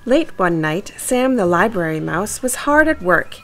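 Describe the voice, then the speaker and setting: A woman's voice: mid-range voice, very animated, a little slow, fairly bright tone. A woman narrating a children's storybook, reading scripted text aloud.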